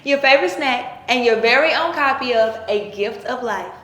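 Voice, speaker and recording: A woman's voice: a little high, expressive, normal speed, fairly bright tone. A woman giving a scripted storytime introduction in a roomy, echoing recording.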